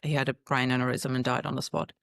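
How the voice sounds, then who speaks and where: Gravelly woman, dry sound. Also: rumbling low, flat delivery, fast, no echo. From Austria. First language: German. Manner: earnest, recounting in vivid detail. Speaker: guest. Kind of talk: personal storytelling in an interview.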